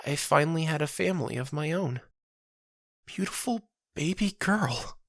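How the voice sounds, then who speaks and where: A performer voicing an anguished dying woman of about thirty, a grieving wife and mother who pleads in drawn-out phrases, in an audio drama. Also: mid-range voice, animated, no room sound, studio quality, pitched above the performer's own voice. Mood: tender sorrow.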